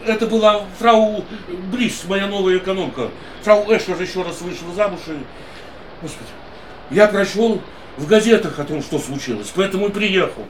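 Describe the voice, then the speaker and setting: Gentle, devoted man, voiced by an actor for radio drama; slightly higher voice, tender tone, roomy sound. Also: normal speed, a little hiss or hum, lightly acted. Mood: eager, relieved. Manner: gentle, soothing, low and tender.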